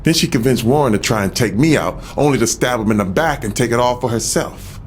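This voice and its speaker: An actor playing a shrewd American former gang boss, self-assured and streetwise, reminiscing in a scripted game audio log. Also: male voice, medium pitch, fairly quick, slight background noise, natural voice. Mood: cold, proud. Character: shrewd, patient male ex-gang boss turned author.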